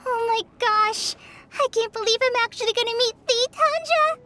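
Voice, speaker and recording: A woman voicing a kind, eager young girl forest ranger in an animated video game, with a gentle, earnest manner. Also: extremely high pitch, moderate pace, slight background noise. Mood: excited. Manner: gentle, earnest, bubbly when excited. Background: prominent music.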